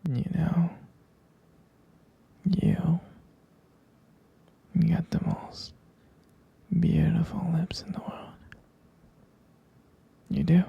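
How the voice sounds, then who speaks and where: Male actor for tender vampire lover, ASMR sleep-aid roleplay. Intimate whisper, heavy creak, flat soothing delivery, halting and doting. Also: fairly high pitch, muffled recording, full character voice. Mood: tender, adoring. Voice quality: breathy whisper with gravelly fry. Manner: slow, halting, softly repeating himself.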